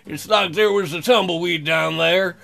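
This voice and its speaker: A man hosting a let's play in a bright, slightly high voice. He rambles and jokes crudely as he reads and comments, with guitar music underneath. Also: moderate pace, vocal fry. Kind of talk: comic game commentary and read-aloud.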